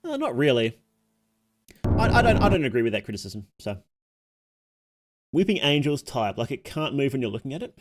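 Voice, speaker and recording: A man's voice: medium pitch, very animated, normal speed, pauses often, slight background noise. A man giving casual livestream commentary, thinking aloud as he goes, musing and questioning himself. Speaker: streamer reacting to horror videos.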